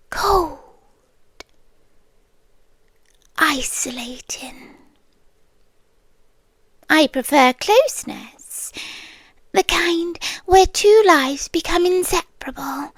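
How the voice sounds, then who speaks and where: A high, breathy British female voice played by a woman as an obsessive, inhuman girlfriend in an ASMR roleplay. She drifts between soft speech and whispers, sweetly condescending and quietly threatening. The sound is close and dry. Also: no echo, heavily acted. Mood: disdainful, clingy. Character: possessive yandere girlfriend, inhuman nest-dweller, softly menacing. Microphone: close microphone.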